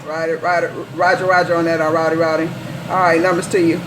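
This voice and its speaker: A woman's voice: fairly low pitch, little pitch movement, quick pace. An American woman working as a CB radio operator, bantering in folksy slang and repeating calls, handles and sign-offs. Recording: noisy live CB radio setup.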